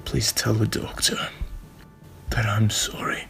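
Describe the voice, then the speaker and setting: A man playing a weary, remorseful renegade time traveller, halting and solemn, in a fan audio drama. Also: on the low side, monotone, vocal fry, fairly bright tone, in a whisper, heavily acted.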